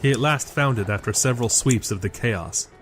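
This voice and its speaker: A man's voice: mid-range voice, fairly quick. A man reading scripted narration for an audio drama. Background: loud music.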